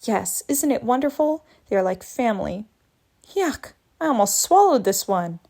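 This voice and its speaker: A woman's voice: fairly high pitch, sing-song, moderate pace, a little mellow. A woman reading aloud as a lazy, sarcastic male cartoon cat, with dry, wisecracking, grumbling lines. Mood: smug. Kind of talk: storybook read-aloud.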